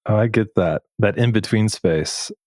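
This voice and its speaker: Male podcast host, lowish pitch, dry close sound, measured and thoughtful interviewing style. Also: a little mellow, dry recording. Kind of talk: reflective podcast interview with scripted intro.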